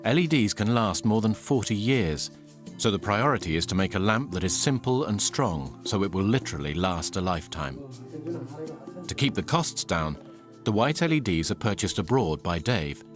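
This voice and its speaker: Low-voiced male documentary narrator.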